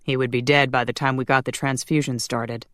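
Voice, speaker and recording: A woman's voice: extremely low pitch, little pitch movement, fairly quick, no room sound, clean studio sound. A woman narrator voicing a grave, reluctant male vampire leader in an audiobook. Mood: grim.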